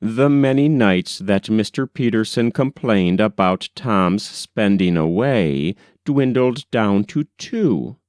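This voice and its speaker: A man reading a novel aloud as an audiobook, in an expressive, measured storytelling manner on a close mic. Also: lowish voice, no echo. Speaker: audiobook reader. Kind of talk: audiobook narration.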